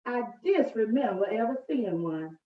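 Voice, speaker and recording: A woman's voice: fairly high pitch, very animated, unhurried. A woman voicing a curious little country girl with rural dialect wording in a children's storybook. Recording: echoey room, distant muffled mic.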